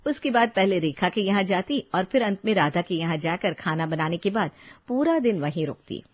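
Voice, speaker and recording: A woman's voice: on the low side, animated, moderate pace, lo-fi sound. A woman reading a scripted moral story as its narrator.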